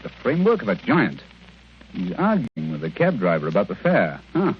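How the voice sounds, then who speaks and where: An actor playing a sardonic, coolly superior British gentleman detective in a radio drama, with a clipped, dry, impatient manner. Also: fairly low pitch, wildly swooping pitch, normal speed. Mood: shrewd, wry. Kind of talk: mid-century radio drama acting. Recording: dull, noisy old radio recording.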